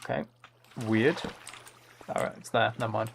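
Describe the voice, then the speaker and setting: A man's voice: on the low side, steady tone, normal speed, creaky, background noise. A man chatting casually as he live-streams a game, thinking aloud in an easygoing running commentary. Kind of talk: casual chat while playing a game live.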